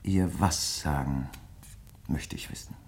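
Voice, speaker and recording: Very deep-voiced, grieving male crime writer. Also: slow pace, a little mellow, whispered, exaggerated voice. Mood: probing, insistent. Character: grieving, probing male crime writer, polite but insistent. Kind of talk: German radio play dialogue.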